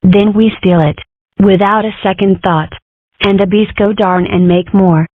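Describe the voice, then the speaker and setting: A woman's voice: mid-range voice, steady tone, measured pace, no room sound, lightly acted. A woman voicing a sassy, scheming young fox girl in a fan comic dub. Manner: flippant, scheming. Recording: tinny, dry phone-like audio.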